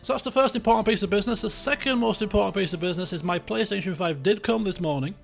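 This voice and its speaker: A British man with a croaky voice, chatting casually and in a rambling, colloquial way as he hosts a game livestream. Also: high voice, quick pace, faint music behind. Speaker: game streamer, host.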